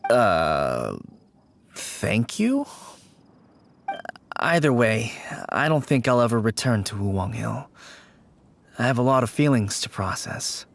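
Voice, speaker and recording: A man's voice: medium pitch, big pitch swings, takes it slowly, creaky, long pauses, slight background noise, heavily acted. A man playing an earnest, wistful young working man in a video game, sincere and hesitant. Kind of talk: video game character dialogue.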